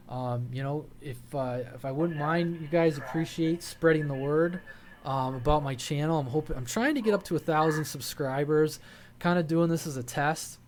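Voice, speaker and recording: A man hosting a hobby video, talking informally and haltingly to viewers in a folksy way. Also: a little high, a little mellow, slight background noise. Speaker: hobby radio channel host. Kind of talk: casual vlog talk to viewers.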